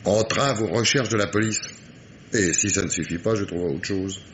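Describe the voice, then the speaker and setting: An actor playing a sardonic, dogged police inspector in a French radio mystery play, with ironic, emphatic diction. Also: male voice, fairly low pitch, fairly quick, a bit bright, slight background noise, natural voice. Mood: ironic, stern.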